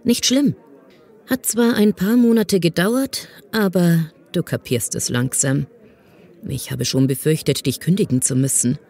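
A woman's voice: fairly low pitch, a little slow, mellow. A woman playing a cynical, patronising police superior with veiled menace in a fan audio drama. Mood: amused, probing.

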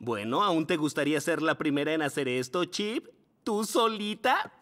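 A woman's voice: deep voice, lively intonation, moderate pace, fairly bright tone. A woman voicing an upbeat, encouraging animal schoolteacher in a Latin American Spanish children's cartoon, patient and singsong with small children. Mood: playful.

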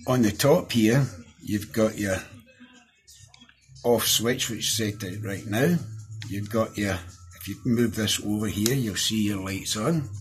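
Low-voiced Scottish man reviewing a gadget. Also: a little slow, soft background music, poor audio. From Scotland. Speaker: product reviewer. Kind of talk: casual hands-on product review. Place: small indoor room at a desk.

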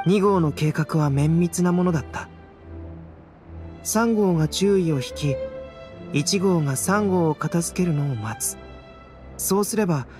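A man playing a calm, cerebral young alchemist in a Japanese anime game, speaking in a measured, soft-spoken, enigmatic way. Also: fairly high pitch, natural voice. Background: background music.

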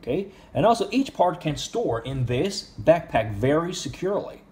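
A man's voice: on the high side, very animated, moderate pace, darkish tone. A man reviewing an action figure in casual speech, with simple, non-native English phrasing. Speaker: toy reviewer.

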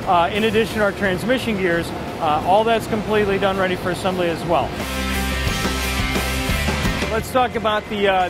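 A man explaining manufacturing operations in a plain, matter-of-fact way, with the odd filler. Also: high-pitched. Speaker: manufacturing manager. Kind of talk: explaining on camera. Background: music bed.

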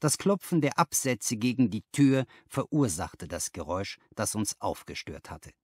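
Male narrator, lowish voice, animated intonation, dry close sound, literary audiobook. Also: normal speed, breathy edge, no room sound. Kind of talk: scripted literary narration with acted dialogue.

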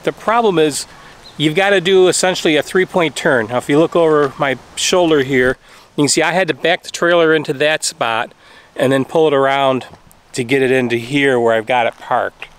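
A man's voice: fairly high pitch, moderate pace. A middle-aged man talking casually to a handheld camera outdoors, giving practical advice about his campground. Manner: plain, practical, explaining to viewers. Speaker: travel vlogger. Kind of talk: casual travel vlog talk. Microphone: camera held at arm's length.

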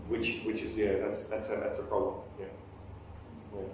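A man's voice: on the low side, level tone, moderate pace, background noise. Man speaking in an echoey room. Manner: conversational, self-deprecating humor, frequent restarts. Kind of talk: live talk with questions. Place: small meeting room, indoors. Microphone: far camera on table. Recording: echoey room, distant camera mic.

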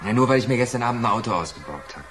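A man's voice: mid-range voice, expressive, very fast, a bit bright, soft background music, lightly acted. An actor playing an anxious young male petty thief in a radio crime drama, pleading and evasive. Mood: evasive, defensive. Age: young adult.

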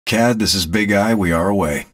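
Game role: male actor as laconic military pilot, deepish raspy voice, calm procedural radio delivery. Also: natural voice. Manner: measured, laconic. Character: calm male military reconnaissance plane captain. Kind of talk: procedural cockpit radio calls, game acting.

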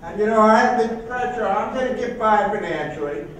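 A man's voice: sits high, expressive, fairly quick, fairly bright tone, voice pushed up. Man playing a carefree, self-centred young millennial, echoey. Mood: breezy, enthusiastic. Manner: defiant, emphatic, casual, tagging with "right?". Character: breezy, self-centred young millennial laying out life plans. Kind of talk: role-play during a keynote speech. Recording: echoey hall from far off, noisy.

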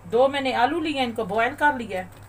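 A woman hosting a cooking channel, calmly talking through a recipe step by step in a voice-over. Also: a little high, brisk, slight background noise. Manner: calm, methodical instructions. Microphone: voice-over over overhead shots of a bowl.

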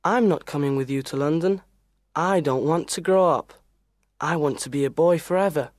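A woman's voice: fairly low pitch, lively intonation, measured pace, a little mellow, voice pushed down. A woman voicing a brave, stubborn young boy adventurer in a children's storybook, serious and resolute. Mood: serious, defiant. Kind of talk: storybook character acting.

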